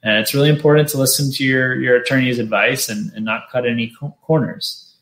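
A man hosting an informational webinar, explaining steps in a steady, matter-of-fact way. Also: medium pitch, brisk. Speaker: webinar host, business consultant. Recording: roomy echo.

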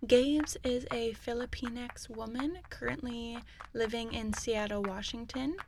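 A woman's voice: fairly high pitch, fairly even intonation, measured pace, creaky, background noise. A woman hosting a podcast, reading an earnest, upbeat introduction. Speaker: podcast host. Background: music.

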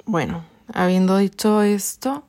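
A Mexican woman in her late twenties hosting a personal podcast, telling a story slowly with many pauses, restarts and fillers. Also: medium pitch, darkish tone. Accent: Mexican Spanish. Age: 20s. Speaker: podcast host.

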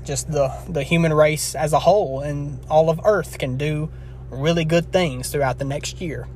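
A man's voice: fairly high pitch, steady tone, normal speed, darkish tone. A man of twenty-one hosting his own podcast in a casual, rambling, earnest way, his throat scratchy from a long session. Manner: rambling, earnest, with frequent restarts. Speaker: podcast host. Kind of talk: casual solo podcast wrap-up.

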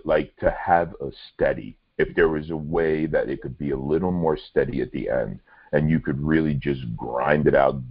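A man with a very low, deep voice hosts a loose podcast chat, rambling and restarting his sentences with dry humor. His voice sounds dry and close, with no room echo. The audio is a bit muffled and lo-fi. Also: husky. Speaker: podcast host.

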